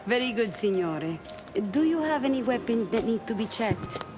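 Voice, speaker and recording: A woman's voice: a little high, very animated, moderate pace. A woman playing a polite, welcoming casino hostess in a video game. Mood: courteous. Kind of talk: video game cutscene acting. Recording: loud music behind the voice.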